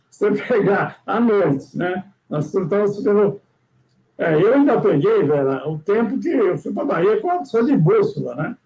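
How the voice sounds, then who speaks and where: An older Brazilian man with a high, gravelly voice tells sea stories in a loose, rambling chat. He keeps adding little questions to his sentences and restarts his thoughts as he goes. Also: animated, unhurried, a little mellow, rough recording. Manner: rambling, frequent "né?" tags and restarts. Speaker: guest sailor. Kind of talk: casual storytelling chat.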